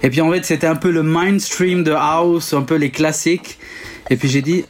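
A man's voice: a little high, fairly even intonation, moderate pace. A man in his forties from Switzerland whose first language is Italian, a DJ and producer answering interview questions casually, hesitating often with ums and restarts. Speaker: DJ and producer guest.

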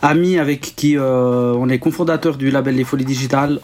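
A Swiss-raised man whose mother tongue is Italian, speaking at a middling pitch. He talks casually and hesitantly, filling gaps with ums and restarting his sentences as a guest on a radio chat. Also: fairly even intonation, a little fast, a little mellow, slight background noise. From Switzerland. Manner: hesitant, many ums and restarts, laughs often. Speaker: DJ and producer guest. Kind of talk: casual interview answers.

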